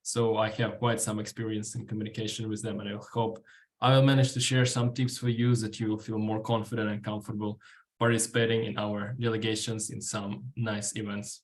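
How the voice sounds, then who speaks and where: A man in his twenties with a Ukrainian accent, speaking informally and haltingly as a guest at a workshop. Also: fairly low pitch, flat delivery. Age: 20s. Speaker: guest speaker, student delegate. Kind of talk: informal workshop talk answering questions.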